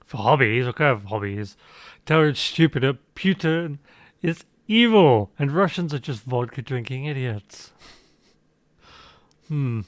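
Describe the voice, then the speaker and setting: A man's voice: mid-range voice, expressive, a little slow, no echo. A man reads the game's choices aloud and adds sarcastic, mocking remarks. His voice sits in a middle range. The sound is dry and close, with no room around it. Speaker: let's play commentator. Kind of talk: reading game choices aloud with sarcastic asides.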